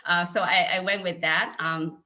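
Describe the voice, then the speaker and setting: A woman in her thirties with a Chinese accent talks earnestly about her career on an online panel. Her voice sits on the low side yet sounds crisp and bright. She comes through a video call mic. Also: steady tone, normal speed. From China. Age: 30s. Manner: earnest, candid storytelling. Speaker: panelist, accounting manager. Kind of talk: casual panel talk.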